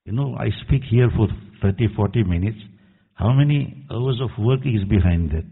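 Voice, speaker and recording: A man's voice: fairly low pitch, lively intonation, normal speed, creaky, breathy edge. Earnest preaching man in echoey hall. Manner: earnest, admonishing, quotes Arabic verses. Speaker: imam. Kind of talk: sermon. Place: mosque hall. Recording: tinny echoey hall recording.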